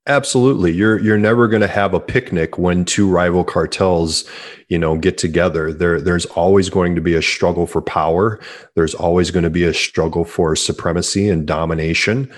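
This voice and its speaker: A man's voice: low voice, fairly even intonation, normal speed. A man in his forties, an author answering interview questions earnestly and repeating words as he starts sentences. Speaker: author guest.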